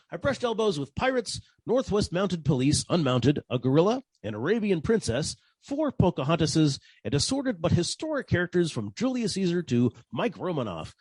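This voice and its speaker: An actor playing a wry, deadpan private detective narrating his caper in 1940s radio style. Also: a little high, husky, no echo, poor audio. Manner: deadpan, wisecracking.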